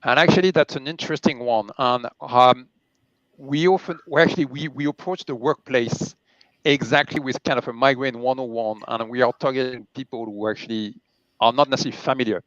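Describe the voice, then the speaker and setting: A man's voice: medium pitch, steady tone, fairly quick, a bit bright, no echo, muffled recording. A middle-aged man, chatting casually and with self-deprecation as an interview guest. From France and Germany. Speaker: interview guest, charity chief executive.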